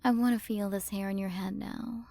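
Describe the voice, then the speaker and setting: A woman playing a haughty, curious alien galaxy queen in an ASMR roleplay, soft and condescending, possessive of her human. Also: medium pitch, fairly even intonation, moderate pace, gravelly, no echo, lightly acted. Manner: soft, condescending, teasing, at times whispered.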